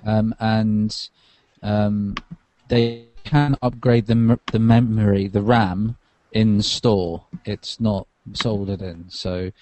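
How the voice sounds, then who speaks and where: A man's voice: fairly low pitch, level tone, takes it slowly, darkish tone. A tired man, a podcast co-host, chatting casually about tech while lying on a sofa.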